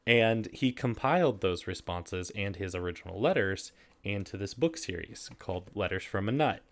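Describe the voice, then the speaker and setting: A man's voice: fairly low pitch, animated, moderate pace. A man casually hosting a video and reading humorous letters aloud, easily amused and prone to giggling. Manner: giggly, often breaking into laughter while reading.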